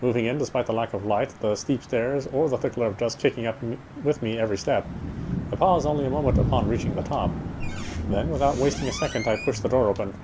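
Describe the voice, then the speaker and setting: A man's voice: medium pitch, quick pace, heavy background noise. A man streaming a game and reading its narration aloud, now and then stumbling over words.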